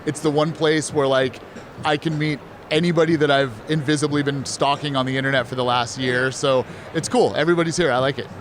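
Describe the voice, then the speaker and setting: A man who is an artist, giving relaxed, joking answers in a casual interview. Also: medium pitch, fairly quick, a little hiss or hum. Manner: joking, laid-back, laughs often. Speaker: artist and gallery exhibitor.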